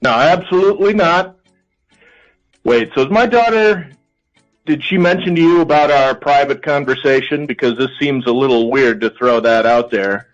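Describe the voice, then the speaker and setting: A man's voice: mid-range voice, moderate pace, faint music behind. A middle-aged American man talking casually as he answers questions on a phone call to a radio show. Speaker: called contestant, a father.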